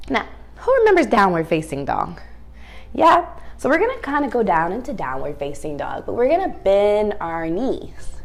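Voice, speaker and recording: Animated, sing-song children's dance teacher, a woman. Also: medium pitch. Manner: encouraging, simple instructions with frequent questions to young children; sing-song, exaggerated delivery for young children. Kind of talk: children's read-aloud and ballet lesson.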